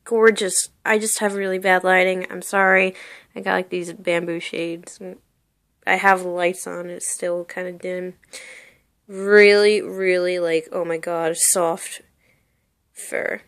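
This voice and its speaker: A woman's voice: medium pitch, steady tone, a little slow. Bubbly young woman gushing over a costume. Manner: bubbly, gushing, lots of "like" and "really, really". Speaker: seller showing a costume. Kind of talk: casual show-and-tell vlog. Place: bedroom, indoors.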